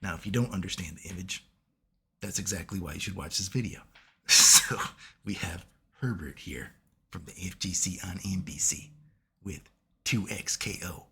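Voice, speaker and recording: A man, hoarse from being sick, giving casual analytical commentary as a video host and checking in with "right?" at nearly every turn. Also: sits low, moderate pace, sharp tone. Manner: keeps asking "right?" as he lectures, swears casually.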